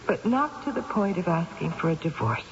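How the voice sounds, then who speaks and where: An actress playing an anguished, sleepless middle-aged wife with a wry bitter edge, in a dramatic, halting old-time radio style. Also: female voice, fairly low pitch, big pitch swings. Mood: wounded, sardonic. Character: anguished, insomniac wife and mother, wryly bitter. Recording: muffled, noisy old radio recording.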